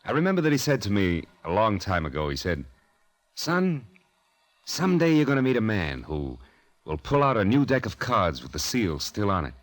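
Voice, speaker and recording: An actor playing a smooth, sly high-rolling gambler in an old-time radio drama, casual and understated in his confidence. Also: sing-song, normal speed. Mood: self-assured.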